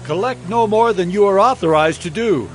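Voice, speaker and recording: A man's voice: sits high, normal speed, soft background music, lightly acted. A man playing a stern, fiery prophet in a dramatized audio Bible, admonishing in a declamatory way. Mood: firm, instructing. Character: fiery wilderness prophet, stern male preacher of repentance.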